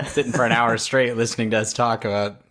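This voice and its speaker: A man's voice: medium pitch, flat delivery, fast, a bit bright. A man co-hosting a podcast with casual, informal banter. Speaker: podcast co-host.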